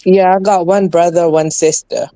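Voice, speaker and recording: Young man, East London accent, Urdu first language, rapid talk, mellow warm tone, dry close mic. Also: high-pitched, steady tone, no room sound. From England. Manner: fast-talking, tags sentences with "though". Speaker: guest on a call-in stream. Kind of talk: casual chat.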